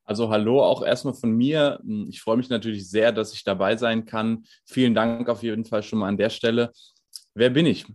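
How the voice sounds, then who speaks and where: Low-voiced young coach. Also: male voice, normal speed. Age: 20s. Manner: relaxed, explanatory, with many fillers. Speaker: strength and conditioning coach. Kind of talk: podcast interview.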